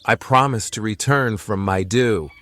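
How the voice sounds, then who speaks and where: A man's voice: on the low side, a little slow, fairly bright tone, soft background music. A man playing a grave, protective man, weighed down by what he knows, in an audio drama. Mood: solemn.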